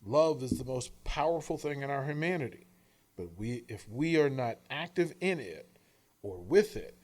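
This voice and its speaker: Earnest man narrating with deliberate pauses. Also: mid-range voice, very animated, darkish tone. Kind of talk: scripted audiobook-style narration.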